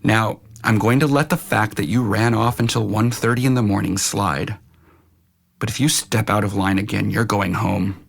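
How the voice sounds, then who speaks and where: A man playing a stern, protective middle-aged uncle in an audio drama, speaking firmly in a measured, admonishing way. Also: lowish voice, fairly even intonation, gravelly, fairly bright tone, husky, exaggerated voice. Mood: stern, reproachful.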